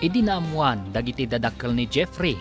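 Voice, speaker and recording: Animated Ilocano male announcer over music. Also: medium pitch, normal speed. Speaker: radio drama announcer. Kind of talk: scripted dramatic narration. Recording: prominent music behind.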